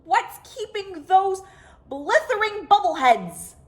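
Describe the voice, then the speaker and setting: A woman's voice: very high voice, big pitch swings, slow, pitched above the performer's own voice, exaggerated voice. A woman voicing a blustering, impatient male world chief in a storybook, with a barking, commanding manner. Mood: enraged. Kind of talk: storybook character voice.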